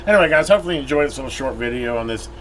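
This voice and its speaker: A man's voice: mid-range voice, rapid-fire. An American man giving a casual, unscripted product review, recorded inside a metal building. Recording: clear steady background noise.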